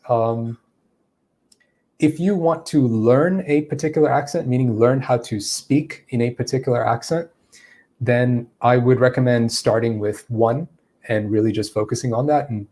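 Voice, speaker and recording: A man explains English pronunciation to viewers, pausing with fillers as he thinks. His voice is mellow and sits at an ordinary pitch. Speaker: English teacher. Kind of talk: answering viewer questions in a lesson.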